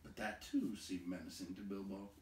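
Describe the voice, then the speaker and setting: A man reading a story aloud in an expressive, deliberate, dramatic narration. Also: sits low. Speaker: storybook reader. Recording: roomy, distant, faint background noise.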